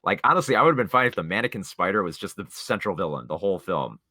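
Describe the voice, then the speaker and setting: A man with a low, bright voice talks through films as a podcast co-host. He is opinionated and likes to explain his point, and the recording is close and dry. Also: big pitch swings, quick pace, dry recording. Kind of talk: casual film discussion.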